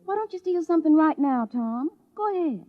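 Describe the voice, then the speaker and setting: A woman's voice: high voice, expressive, fairly quick. An actress playing a cheery, encouraging village woman in an old radio drama, eager and folksy. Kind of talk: mid-century radio drama acting. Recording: tinny, band-limited vintage radio.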